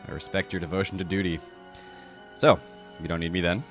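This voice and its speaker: A man's voice: deep voice, little pitch movement, fairly quick, faint music behind, natural voice. A man voicing a stoic young mercenary leader in game dialogue, blunt, dutiful and terse. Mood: matter-of-fact. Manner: plain-spoken, terse. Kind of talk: game dialogue read aloud in a fan dub.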